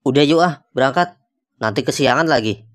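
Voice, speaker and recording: A man's voice: mid-range voice, fairly quick, natural voice. A man voicing a grumbling, impatient young travel buddy in a cartoon, with blunt, slangy Jakarta Indonesian. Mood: wary, teasing. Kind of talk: colloquial cartoon dialogue.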